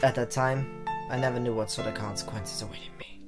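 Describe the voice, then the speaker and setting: A man's voice: mid-range voice, fairly even intonation, a little fast. A man hosting a let's play, reading game text aloud in a theatrical way with casual reactions. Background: game music.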